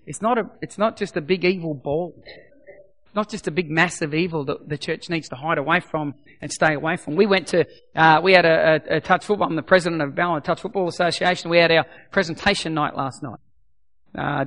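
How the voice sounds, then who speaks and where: A middle-aged man preaching as a pastor, his voice a bit croaky. He talks emphatically, repeating his points and dropping to a soft voice for prayer. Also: fairly high pitch, fast. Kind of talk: sermon.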